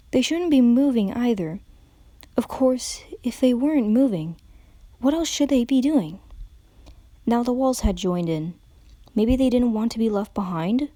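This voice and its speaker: A woman's voice: on the high side, mellow, no echo. A woman giving a brooding, dreamlike dramatic reading of a story as narrator.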